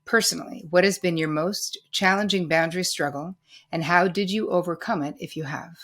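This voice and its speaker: A woman's voice: lowish voice, a little fast, a bit bright. A woman hosting a podcast interview, offering brief affirmations and asking her guest questions. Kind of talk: interview chat.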